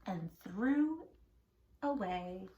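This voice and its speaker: A woman reading a children's story aloud in an expressive, affectionate way, speaking straight to young listeners. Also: medium pitch, extremely slow, echoey room, lo-fi sound. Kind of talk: animated children's read-aloud.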